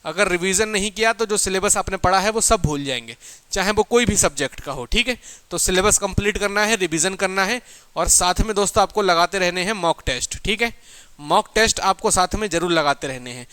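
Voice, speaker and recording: High-pitched, bright man teaching. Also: brisk, clear, resonant tone, no room sound. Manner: emphatic, colloquial, asks and answers own questions. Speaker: exam coaching teacher. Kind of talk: informal motivational lecture to students.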